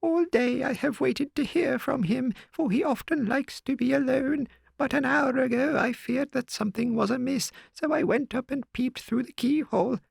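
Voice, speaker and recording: A man voicing a frightened elderly housekeeper woman, shrill and quavering. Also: normal speed, vocal fry, few pauses, husky, no room sound, full character voice. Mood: anxious. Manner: high quavering pleading.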